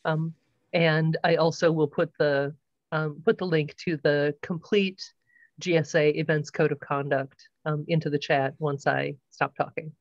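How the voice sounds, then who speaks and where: A woman hosting an online short course on a video call, welcoming people and going over house rules with many pauses and ums. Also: low-pitched, steady tone, no room sound, highs cut off. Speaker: course host.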